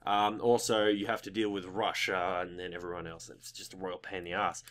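A young adult man with a fairly low voice that has a slight croak to it. He hosts a gaming video in a casual, chatty way, rambling through his thoughts with loose, informal and occasionally sweary phrasing. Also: little pitch movement, a little fast, a bit bright. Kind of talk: casual gameplay commentary.

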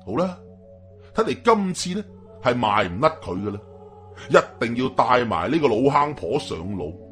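A man's voice: medium pitch, very animated, normal speed, a bit bright, faint music behind. A man with a Hong Kong Cantonese accent telling a story in a colloquial, suspenseful, dramatic narration.

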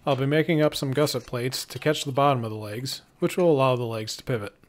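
Male how-to narrator, brisk and matter-of-fact, mid pitch, some faint noise and clicks behind. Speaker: DIY builder, host.

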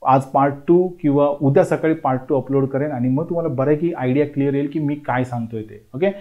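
A man's voice: mid-range voice, fast, narrow, tinny audio. A man in his thirties explains admission steps at a whiteboard in a classroom lecture. He talks emphatically and reassuringly, keeps checking in with "okay," and switches between Marathi and English. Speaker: admissions counsellor teaching at a whiteboard. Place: indoor classroom in front of a whiteboard. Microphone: lapel mic.

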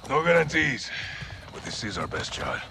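An actor playing a tough, wry Mexican special forces officer in a video game, with a Mexican accent. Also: male voice, medium pitch, huge pitch swings, normal speed, vocal fry, background noise. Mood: wry, measured.

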